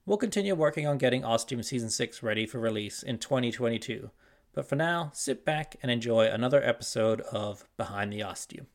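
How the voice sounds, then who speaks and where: A man's voice: medium pitch, expressive, fairly quick. A man hosting a podcast in a casual, conversational discussion style. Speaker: podcast host.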